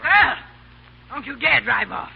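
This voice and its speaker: Bossy old grandmother, very high voice, husky rasp, snapping indignant delivery, vintage radio comedy. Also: very animated, takes it slowly, exaggerated voice. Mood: suspicious, bossy. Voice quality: raspy, creaky. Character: imperious, sharp-tongued rich old grandmother, domineering and suspicious. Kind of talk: 1930s radio comedy acting. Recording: dull, lo-fi old radio recording.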